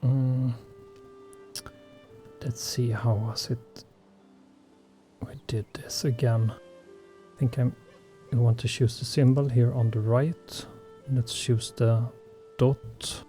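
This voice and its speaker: A Swedish-born man talks through his survival gameplay at an unhurried pace, pausing often on ums and uhs. His voice is mellow and mid-pitched. Faint game music plays underneath. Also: flat delivery. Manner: slow, hesitant, many fillers. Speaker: gaming channel host. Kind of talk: relaxed gameplay commentary.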